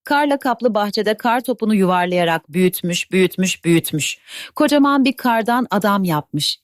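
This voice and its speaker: A woman's voice: mid-range voice, expressive, moderate pace, no echo, clean studio sound. A woman narrating a scripted children's story as the storyteller. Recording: faint background noise.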